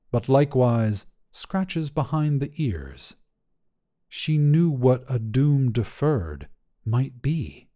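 Male fiction narrator: slow, dark-toned, measured, theatrical delivery. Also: mid-range voice. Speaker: story narrator. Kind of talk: scripted literary fiction narration.

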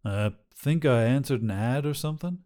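A man's voice: medium pitch, moderate pace, dark tone, natural voice. A man voicing a young drifter, dazed and haunted, who speaks in a hesitant, stammering way.

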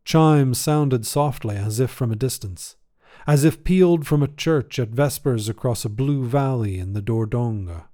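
A man narrating a scripted short story as a reader, in a measured, literary delivery. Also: medium pitch, warm tone.

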